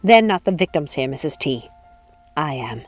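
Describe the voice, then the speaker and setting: A woman's voice: sits low, very animated, moderate pace, soft background music, pitched below the performer's own voice. A woman voicing a bitter, proud and possessive witch in a storybook reading, speaking in grave, emphatic declarations. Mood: cold, self-righteous. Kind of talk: storybook character voice.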